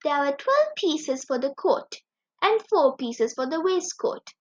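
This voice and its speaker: A woman reading a children's story aloud as a storyteller, with expressive, animated delivery. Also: high-pitched, slow pace. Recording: dull lo-fi recording.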